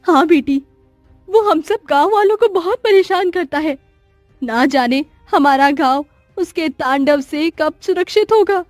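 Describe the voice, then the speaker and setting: A woman voicing a kindly, fearful village auntie in a children's cartoon story, speaking gravely and pleadingly. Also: high-pitched, a little slow, dry recording, faint music behind, pitched above the performer's own voice.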